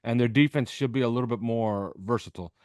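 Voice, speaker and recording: A man's voice: medium pitch, fast talker, a little mellow, no room sound, studio quality. Emphatic man giving sports analysis. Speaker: podcast host appearing as guest analyst. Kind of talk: sports interview commentary. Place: video call with backdrop behind.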